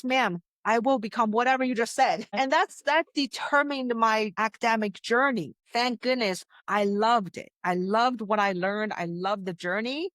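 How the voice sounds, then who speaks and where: A woman's voice: medium pitch, a bit bright, dry recording. Earnest woman from China, dry sound. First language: Chinese. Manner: earnest, emphatic storytelling with frequent restarts. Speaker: guest, success coach. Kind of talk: interview conversation.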